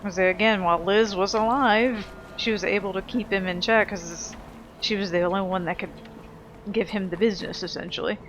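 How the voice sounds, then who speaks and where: Woman, mid-pitched voice, casual game commentary, blunt, opinionated, sweary, faint noise behind. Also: steady tone, moderate pace, rough recording. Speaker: gaming video host. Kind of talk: casual, unscripted let's play commentary.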